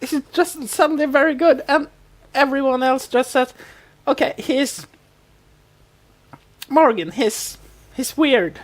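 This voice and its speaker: A woman's voice: high-pitched, slow pace, pauses often. A woman co-hosting a podcast in a casual recap chat, telling the story and acting out quotes as she goes. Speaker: podcast co-host.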